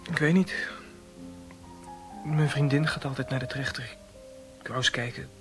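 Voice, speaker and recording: A man's voice: medium pitch, steady tone, moderate pace, music in the background, natural voice. An actor in a radio play, playing a shy, lovesick, brooding young man who speaks hesitantly and earnestly. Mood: melancholy, subdued.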